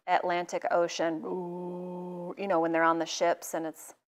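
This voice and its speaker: A woman's voice: on the low side, slight background noise. A middle-aged woman hosting a live sewing tutorial in her studio, chatting casually and joking at her own expense as she works. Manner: chatty, self-deprecating, jokey asides.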